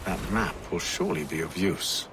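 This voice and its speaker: An actor playing a dry, confident gentleman assassin of the eighteenth century, in a game cutscene. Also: sits low, normal speed, noisy background, close to an everyday voice. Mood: measured, calculating. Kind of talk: video game cutscene acting.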